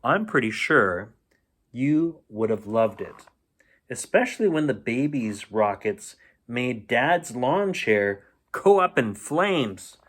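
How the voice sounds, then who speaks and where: A man reading a children's storybook aloud in an expressive, playful storytelling manner. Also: medium pitch, takes it slowly. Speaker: bedtime story reader.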